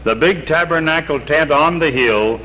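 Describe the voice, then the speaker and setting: A middle-aged man speaking in a measured, earnest, formal way, reading a prepared statement for a 1950s television broadcast. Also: fairly high pitch, sharp tone, poor audio. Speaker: camp spokesman. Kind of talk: reading a prepared statement, then documentary narration. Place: outdoors by a lake. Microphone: seated on camera, reading from papers. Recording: old 1950s TV broadcast with faint hiss.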